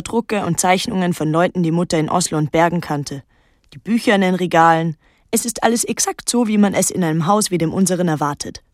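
An actress playing a pensive, detached young woman, narrating and talking in a literary radio drama in a measured, reflective way. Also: lowish voice, no room sound, clean studio sound, natural voice. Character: pensive young woman recalling her island summer, detached, introspective. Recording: music under the voice. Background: music.

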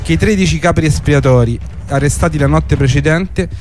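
A man's voice: on the high side, fairly quick, darkish tone. A man hosting and narrating a scripted historical radio programme. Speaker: host and narrator of a radio series. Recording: music under the voice. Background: music.

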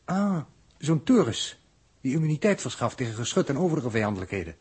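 An actor in a 1960s radio drama playing a logical, analytical space traveller from Earth, with a very husky voice and a formal, precise, courteous manner. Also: male voice, medium pitch, very animated, a little fast, darkish tone. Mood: analytical, curious. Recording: old 1960s radio recording.

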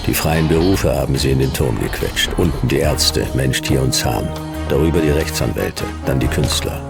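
An actor playing a laconic, world-weary private detective in a radio play, narrating and talking in a dry, clipped, deadpan way. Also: male voice, very deep voice, moderate pace, creaky, over loud music, exaggerated voice. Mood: dry narration.

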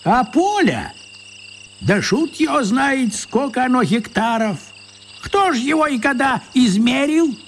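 An actor playing an eccentric, stubborn wizard in an audio drama, grumbling and arguing in folksy colloquial speech. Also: male voice, very high-pitched, big pitch swings, measured pace, a little hiss or hum, heavily acted. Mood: puzzled musing. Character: elderly eccentric man, a wizard, stubborn and self-justifying. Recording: echoey hall reverb.

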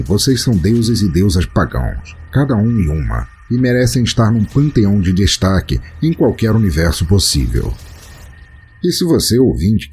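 A man's voice: lowish voice, warm tone, breathy edge. A Brazilian man hosting a podcast, reading a scripted introduction in a grandiloquent, tongue-in-cheek theatrical manner. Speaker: podcast host.

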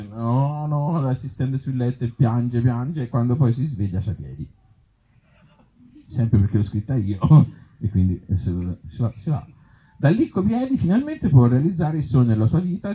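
A man performs comic short stories live. His voice is very mellow and a little husky, with animated swings in pitch. He slips often from theatrical storytelling into conversational asides to his listeners. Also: muffled recording. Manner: theatrical storytelling broken by self-deprecating asides to the audience. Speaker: author and actor reading his own short stories live. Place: live outdoor festival event before an audience.

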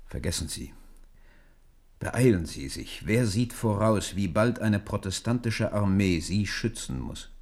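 Low-voiced, stern royal minister. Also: male voice, lively intonation, unhurried, darkish tone. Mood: warning. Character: stern, hard-headed royal minister and grand master. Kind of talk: German radio play acting.

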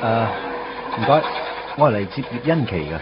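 A man's voice: medium pitch, fairly quick, noisy background, close to an everyday voice. An actor playing a wry, put-upon young man in a Cantonese radio drama, conversational and self-mocking with a Hong Kong accent. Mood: concerned. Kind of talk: radio drama narration and dialogue. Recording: dull radio recording with music underneath.

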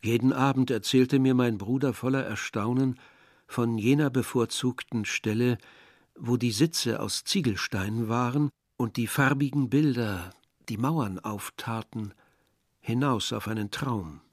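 Man acting villager narrator, reflective, measured, middle-pitched voice, scripted literary radio drama. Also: no room sound, studio quality, lightly acted.

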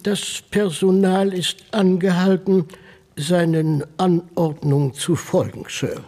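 Discreet manservant for radio drama: husky, slightly high, formal, measured and guarded. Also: male voice, steady tone, darkish tone, heavily acted. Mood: polite, guarded. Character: deferential, discreet household butler, formal and guarded. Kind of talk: German radio play acting.

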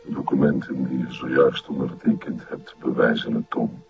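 Very deep, growling satanic cult master. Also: male voice, steady tone, moderate pace, gravelly. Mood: solemn, grandiose. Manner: solemn, commanding. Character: sinister male satanic cult master, commanding and flattering. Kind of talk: broadcast crime drama acting. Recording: muffled, loud ominous music behind.

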